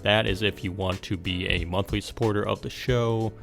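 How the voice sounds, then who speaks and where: A man with a low voice hosts a podcast in a casual, conversational style. Faint music plays behind him as he talks. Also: fairly even intonation, moderate pace, gravelly, a bit bright. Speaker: podcast host. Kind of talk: casual podcast interview and listener appeal.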